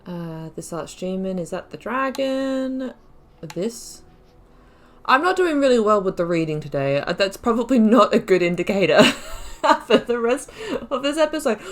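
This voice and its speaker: Female gamer for a playthrough: casual, chatty, reflective, mid-pitched, thinking out loud as the game goes. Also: big pitch swings, normal speed. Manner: chatty, reflective, often laughing at own mistakes. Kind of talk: casual gameplay commentary, thinking aloud.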